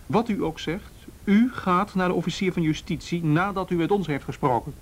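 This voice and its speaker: An actor in a radio play playing a firm, patient policeman, measured and matter-of-fact. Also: sits high. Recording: faintly noisy radio drama recording.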